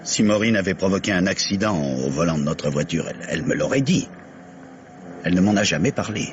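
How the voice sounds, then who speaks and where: An old, noisy and muffled radio-drama recording of a troubled husband. His very low voice is sober and reserved, polite but doubtful. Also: animated, fairly quick. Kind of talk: French radio mystery play acting.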